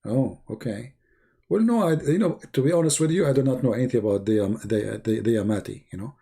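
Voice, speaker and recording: A man hosting a livestream, rambling in a mocking, joking tone with a gravelly voice. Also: mid-range voice, animated, fast talker, mellow. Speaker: livestream host. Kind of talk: casual livestream chat.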